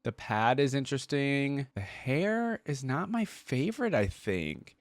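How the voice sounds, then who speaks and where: A man's voice: medium pitch, very animated, measured pace, no echo. A man hosting a reaction video in a casual, informal way, thinking aloud and speaking to his viewers. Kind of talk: casual reaction commentary, some reading aloud.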